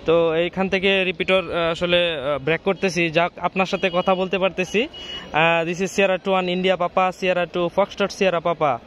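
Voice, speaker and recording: Male ham radio operator, Bangladeshi accent, fairly high voice, halting delivery, faint outdoor noise behind him. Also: steady tone, fairly bright tone. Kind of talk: ham radio contact, mixing chat with call signs. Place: outdoors on a rooftop.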